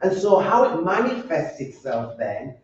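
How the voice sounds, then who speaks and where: Slow, halting Yorkshire woman in echoey room. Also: on the low side, very animated, darkish tone. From Yorkshire, England. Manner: earnest, halting, repeats and restarts words. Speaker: roundtable panelist. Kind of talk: roundtable discussion. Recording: echoey, distant, dull sound.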